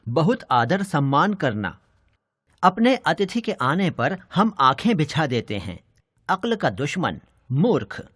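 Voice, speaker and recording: Male teacher narration, lightly high pitch, animated delivery, scripted children's lesson, dry sound. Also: dry recording.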